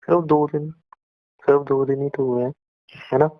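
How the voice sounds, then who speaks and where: A man's voice: mid-range voice, flat delivery, normal speed, no echo. A young Indian man in an emotional phone conversation with an ex, hurt and close to tears, reproachful and repeating himself. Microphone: phone call. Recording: muffled lo-fi phone call recording.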